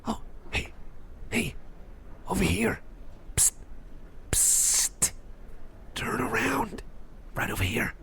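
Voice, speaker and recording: An eager, excitable male admirer, acted by a man, half-whispers in a hushed ASMR roleplay. He stammers and doubles his phrases, his creaky voice leaping wildly in pitch, with faint noise behind. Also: full character voice. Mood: excited, eager. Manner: hurried, stammering, repeating himself.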